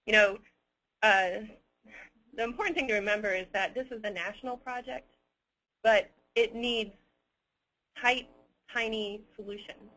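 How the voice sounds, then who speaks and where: A man answering audience questions as a webinar presenter, unscripted, hesitant and halting as he picks his words with care. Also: sits high, bright, forceful tone, low-quality recording.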